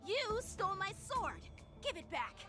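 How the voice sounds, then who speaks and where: A woman's voice: extremely high pitch, big pitch swings, measured pace, over music, heavily acted. A woman voicing an earnest, indignant young boy swordsman in an anime game, formal but heated.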